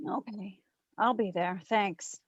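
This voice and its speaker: A woman's voice: medium pitch, slow, close to an everyday voice. A woman playing a breezy, flirtatious female private eye, trading quips in a 1940s-style radio drama. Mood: wry. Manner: flip, teasing banter. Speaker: radio drama lead and narrator. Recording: slightly dull lo-fi recording.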